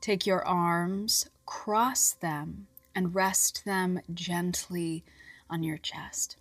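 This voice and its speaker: A woman reading a scripted guided relaxation in a soft, soothing, measured voice with long pauses and breathy phrase endings. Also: lowish voice, steady tone, no echo. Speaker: guided meditation narrator. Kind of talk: scripted hypnobirthing relaxation guidance. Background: music.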